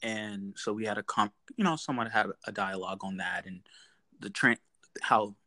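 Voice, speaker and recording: A man's voice: lowish voice, moderate pace, sharp tone, dry recording. A man hosting a podcast interview, asking questions in a loose, rambling way full of fillers. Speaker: podcast host. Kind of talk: casual interview chat.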